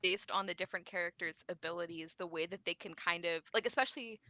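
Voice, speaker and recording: An enthusiastic, giggly woman in a casual book chat, retelling moments in an animated way on an online call. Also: fairly low pitch, fast, no echo. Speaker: book discussion co-host. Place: live online video call.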